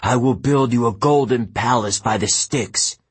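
Anxious underworld god, low gravelly pleading, slow delivery, read by a man. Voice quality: deep, husky. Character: Hades, anxious brooding god of the dead.

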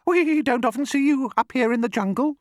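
A man's voice: very high-pitched, a little fast, dry recording, studio quality, pitched above the performer's own voice. A man voicing a friendly, innocent little bird in a children's storybook, lilting and singing out the words politely. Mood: cheery, curious.

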